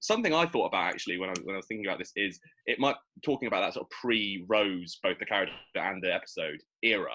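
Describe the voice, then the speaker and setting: Male podcast host, low-pitched and rapid, animated, casual fan-chat delivery. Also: a little hiss or hum, low-quality recording.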